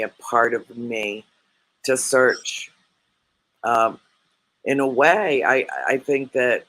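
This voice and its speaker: A man co-hosts a reflective spiritual discussion. He speaks very slowly in a flat, even voice, often pausing and restarting his sentences. He sounds dry and close to the mic. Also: mid-range voice, no room sound, poor audio. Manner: hesitant, with many restarts and fillers. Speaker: co-host.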